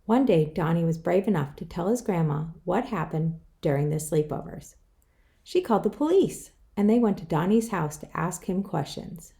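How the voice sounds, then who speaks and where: A woman's voice: lowish voice, lively intonation, moderate pace, vocal fry, dark tone. A woman author reading her own children's book aloud, telling it in the first person. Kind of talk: scripted children's story read-aloud.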